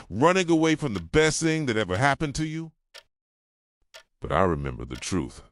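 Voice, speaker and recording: Man acting a very deep-voiced, possessive yandere boyfriend. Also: dry recording. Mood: wounded, accusing. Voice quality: soft, low, breathy at times. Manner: intimate, insistent, pleading and accusing. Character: possessive, obsessive yandere boyfriend, hurt and manipulative. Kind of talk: ASMR roleplay monologue. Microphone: close microphone, dry sound.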